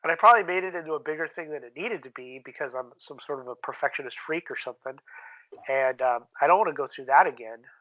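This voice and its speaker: Guy with a sharp bright voice chatting casually in an interview, candid, muffled lo-fi recording. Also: fairly high pitch, fast talker. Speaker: interview guest, filmmaker. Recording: dull lo-fi call-quality audio.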